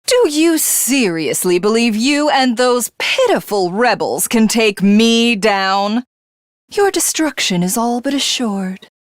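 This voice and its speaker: A woman's voice: medium pitch, no room sound, clean studio sound. A woman voicing a megalomaniacal, contemptuous female tyrant who craves godhood, in theatrical video game villain style.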